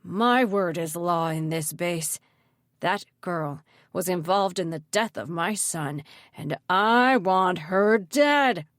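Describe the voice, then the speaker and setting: A woman's voice: lowish voice, takes it slowly, dry recording, studio quality. A woman voicing a ruthless, vengeful male mayor who barks and threatens in an audiobook story. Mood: menacing, bitter. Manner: barking, snapping, menacing.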